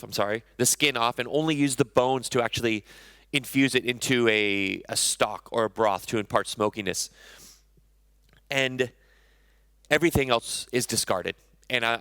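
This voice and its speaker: A man's voice: mid-range voice, pushed and bright, clear, resonant tone, no echo. A man in his thirties, a chef giving a conversational conference talk on stage, often correcting himself mid-sentence. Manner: conversational, self-correcting, enthusiastic about food.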